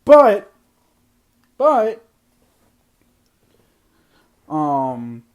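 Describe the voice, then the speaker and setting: High-voiced man ranting hoarsely. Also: huge pitch swings, drawn-out words, lo-fi sound. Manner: blunt, ranting, repeats points for emphasis. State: losing his voice. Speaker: podcast host. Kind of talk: opinionated sports podcast monologue.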